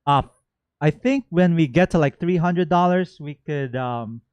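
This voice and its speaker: A man hosting a game stream, chatting casually and playfully, given to excited exclamations and rambling asides. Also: on the high side, measured pace, clean tone, no echo, muffled recording. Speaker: streamer and host. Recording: music playing behind the voice. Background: game music.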